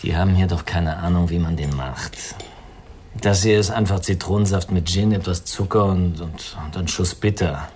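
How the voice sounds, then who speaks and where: Low-voiced, weary, self-pitying drinker played by a man. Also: moderate pace, a little mellow, slight background noise, lightly acted. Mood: musing. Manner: musing, cynical, self-mocking. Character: weary, self-pitying male drifter and drinker, arrogant, fatalistic. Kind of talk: radio crime drama dialogue.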